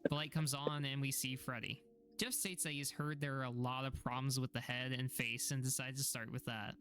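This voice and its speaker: A man's voice: medium pitch, flat delivery, brisk, fairly bright tone, soft background music. A man giving a scripted narration that recaps horror videos.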